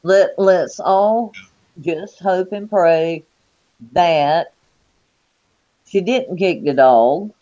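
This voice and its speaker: A man in his fifties co-hosting an American talk show, speaking slowly and drawn out. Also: high-pitched, mellow. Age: 50s. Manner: slow and drawn out. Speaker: co-host. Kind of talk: casual chat. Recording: dull lo-fi sound.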